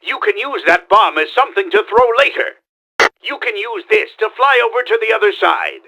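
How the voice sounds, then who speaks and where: Pompous cartoony mad scientist game villain, high pitched and super animated, gravelly, bossy theatrical delivery. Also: male voice, normal speed, full character voice. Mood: instructive, bossy. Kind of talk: video game tutorial voice lines.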